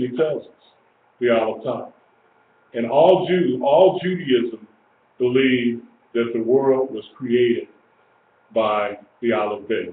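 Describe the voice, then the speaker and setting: A man in his sixties giving an informal religious teaching, emphatic and repeating phrases for weight. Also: mid-range voice. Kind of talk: informal sermon, teaching to a small group. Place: small indoor room. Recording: echoey, tinny lo-fi webcam stream with hiss.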